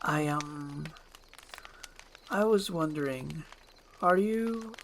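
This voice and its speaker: A woman voicing an awkward, defensive and bashful male ogre with halting speech, in an animated film script. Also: low-pitched, a little hiss or hum, exaggerated voice. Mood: shy.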